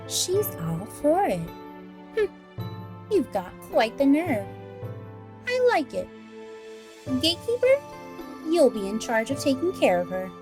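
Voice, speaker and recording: A woman's voice: sits high, huge pitch swings, takes it slowly, pauses often, music in the background, exaggerated voice. A woman playing a haughty, playful vampire mistress in a radio drama, with an imperious, teasing manner. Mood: amused, commanding.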